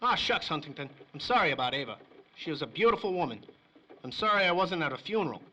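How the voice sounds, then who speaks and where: A nervous, shifty little underworld snitch from an old-time radio serial. The voice is high, bright and very animated, full of whiny wise-guy slang. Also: quick pace, exaggerated voice, rough recording. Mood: sympathetic. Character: nervous, shifty male small-time crook turned snitch. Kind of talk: 1930s old-time radio crime drama acting.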